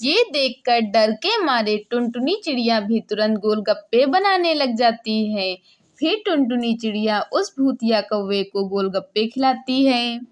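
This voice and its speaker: A woman narrator reading a scripted children's bedtime story. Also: fairly high pitch.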